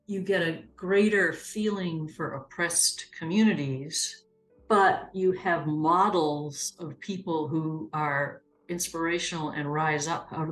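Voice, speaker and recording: A woman from New Hampshire sharing her experience in an animated way, laughing as she retells moments. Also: on the low side. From New Hampshire, USA. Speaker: interviewee, churchgoer. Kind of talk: reflective testimony. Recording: roomy sound with music bed.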